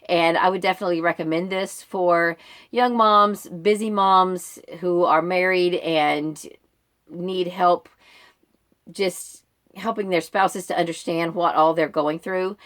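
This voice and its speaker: A woman's voice: on the low side, a bit bright. A middle-aged American woman giving a casual, conversational reading update to viewers in an informal, rambling way. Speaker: book vlogger and book club member.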